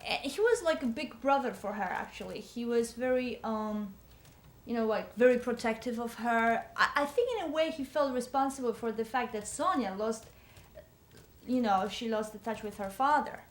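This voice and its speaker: Actress as a halting, higher-voiced earnest woman. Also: echoey room, close to an everyday voice. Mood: thoughtful. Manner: halting, conversational. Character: earnest, gentle young woman recalling her friends.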